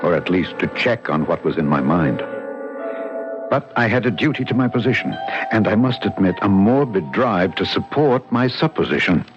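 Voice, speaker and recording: An actor playing a haunted, dutiful police official in an old-time radio mystery, grave and probing in manner. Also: male voice, low-pitched, big pitch swings, moderate pace, over music. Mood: haunted, ominous. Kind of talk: old-time radio mystery acting, dramatic first-person narration. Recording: muffled vintage radio broadcast.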